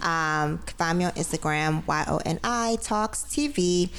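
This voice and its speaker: A young American woman chats loosely in a group conversation, her voice sitting a little low. She rambles and laughs as she goes. Faint background noise sits under her voice. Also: measured pace, fairly bright tone. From United States. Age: young adult. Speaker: podcast guest host. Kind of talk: casual group chat.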